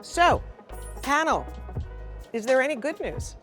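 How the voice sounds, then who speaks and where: A woman's voice: high-pitched, sing-song, slow, a bit bright, faint music behind. A woman hosting a talk show, presenting in a scripted, formal introductory style. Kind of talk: scripted introduction of panel guests.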